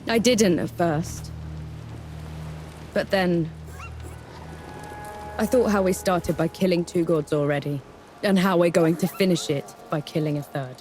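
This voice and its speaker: A woman's voice: fairly low pitch, measured pace, pauses often, darkish tone, faint music behind, lightly acted. Actress as a fierce, determined soldier woman. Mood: firm. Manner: resolute, commanding. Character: determined female soldier, fierce rallying god-killer. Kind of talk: video game cutscene acting.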